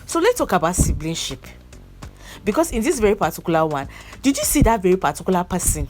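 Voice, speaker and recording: A woman's voice: fairly low pitch, very animated, fast talker, darkish tone, soft background music. A young woman chatting casually about a movie, repeating herself and breaking into laughs. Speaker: movie reviewer. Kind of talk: casual movie review chat.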